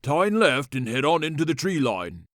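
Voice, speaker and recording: Man voicing a jolly, folksy old gentleman signpost. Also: fairly high pitch, big pitch swings, normal speed, no room sound, studio quality, pitched above the performer's own voice. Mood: helpful, folksy. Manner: folksy, joking, courtly salesman patter. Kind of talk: audiobook character voice.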